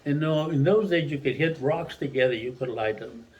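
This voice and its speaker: An older man from Mexico whose first language is Spanish, a retired teacher telling a long childhood story to his family in a rambling, animated way. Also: fairly high pitch, moderate pace, dull audio. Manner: rambling, animated storyteller who restarts sentences.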